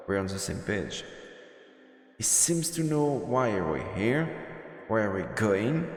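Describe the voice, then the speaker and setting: A man streaming a visual novel, reading the narration aloud in an expressive storyteller manner with asides. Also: medium pitch, gravelly. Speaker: streamer, let's player. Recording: echoey reverberant room, music behind. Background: game music.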